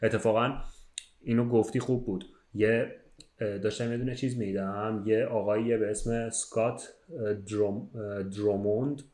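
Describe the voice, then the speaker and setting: A low-pitched man chats in a roomy, echoing space. He tells stories in a casual, conversational way, often checking that the listener follows. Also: fairly even intonation, darkish tone. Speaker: podcast speaker telling a story. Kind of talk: casual chat, storytelling.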